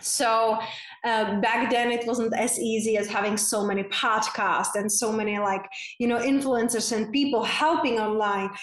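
A woman in her forties from the Czech Republic with a Czech accent, a podcast guest talking earnestly and warmly about her life, using many fillers. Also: on the high side, steady tone, normal speed, reverberant, rough recording. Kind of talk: casual interview chat.

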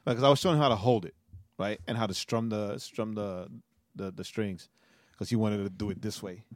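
A man's voice: lowish voice, fairly even intonation, brisk, no room sound, studio quality. A man in his forties co-hosting a podcast, telling stories animatedly, laughing often and checking in with "right?". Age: 40s. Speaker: podcast co-host. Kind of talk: casual chat.

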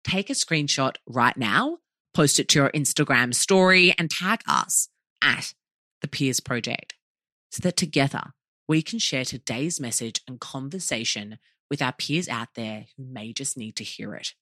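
Animated woman hosting a podcast. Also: rumbling low, unhurried, no room sound. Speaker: podcast host. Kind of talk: scripted podcast introduction and interview hosting.

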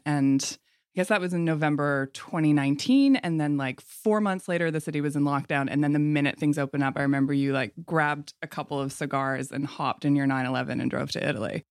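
A woman hosting a podcast interview, friendly and chatty with quick laughs. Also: low-pitched, no room sound, clean studio sound. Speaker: host.

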